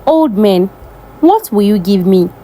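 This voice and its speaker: A woman's voice: medium pitch, expressive, measured pace, warm tone. Woman as a demanding little princess. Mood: curious. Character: spoiled five-year-old princess, demanding. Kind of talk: folktale storytelling.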